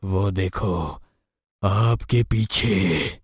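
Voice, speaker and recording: An actor playing a young man in a horror audio story, haunted by a ghostly lover, with dramatic drawn-out delivery. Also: low voice, husky, no room sound, clean studio sound, full character voice. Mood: eerie, menacing. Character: frightened young man later possessed by vengeful ghost lover.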